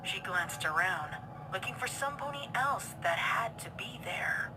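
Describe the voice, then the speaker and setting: A woman narrates a dark story in a scripted, dramatic style. The delivery is breathy and suspenseful, at an average pitch. Loud music plays beneath the voice. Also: expressive, measured pace, poor audio.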